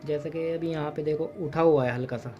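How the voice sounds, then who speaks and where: A man hosting a repair tutorial, talking through each step at his workbench, briskly and with instructive repetition. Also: a little high, steady tone, dull audio. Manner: brisk, instructive, repeats "fatafat" and "theek hai". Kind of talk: hands-on repair demonstration.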